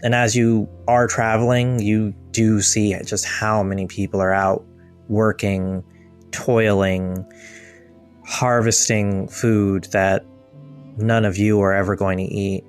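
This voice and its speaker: A man narrating as game master of a tabletop game, describing scenes in an unhurried way. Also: lowish voice, level tone, soft background music.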